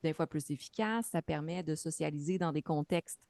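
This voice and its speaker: A woman explains her field as a practitioner on a webinar panel, with many fillers along the way. Her voice sits low, and the sound is dry, close and free of room echo. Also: moderate pace, gravelly, darkish tone. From Quebec. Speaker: mental health practitioner on a panel. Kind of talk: webinar talk.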